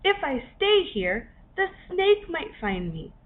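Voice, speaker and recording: A timid little male crab, acted by a woman reading a storybook, worrying aloud in an anxious, fretful way. The pitch sits high and moves about a lot. The sound is slightly dull, with faint noise. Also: very animated, slow pace, full character voice. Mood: anxious dread. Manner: anxious, fretful listing of worries. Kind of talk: children's storybook character voice.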